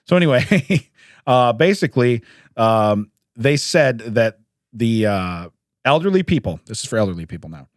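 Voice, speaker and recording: A man, a podcast co-host, telling odd news stories in a casual, jokey way with chuckles and throat-clearing. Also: mid-range voice, very animated, moderate pace, no echo, studio quality.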